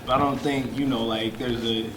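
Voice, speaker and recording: A man's voice: mid-range voice, moderate pace. A young man from Chicago, a rapper in a group interview, telling stories with lots of restarts and laughter. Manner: animated storyteller, many restarts. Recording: echoey room with crowd noise.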